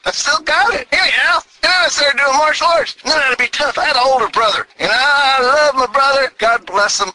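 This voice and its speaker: A man in his sixties, from New England, with a Texan twang, telling stories in an animated, folksy way. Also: sits high, slight background noise, rough recording. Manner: animated, exclaiming, chuckling folksy talk. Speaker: vlogger, military veteran. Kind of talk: casual storytelling monologue.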